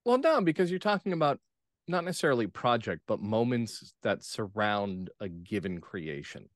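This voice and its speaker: A man speaking as an interview guest in casual chat, enthusiastic and often checking his points with a quick questioning tag. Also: fairly low pitch, big pitch swings, no room sound, clean studio sound. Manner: enthusiastic, punctuates points with "right?". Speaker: playwright and actor, podcast guest.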